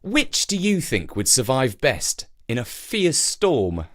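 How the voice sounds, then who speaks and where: A man presenting and reading a scripted verse fable as a storyteller, in an expressive, measured and dramatic way. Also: mid-range voice.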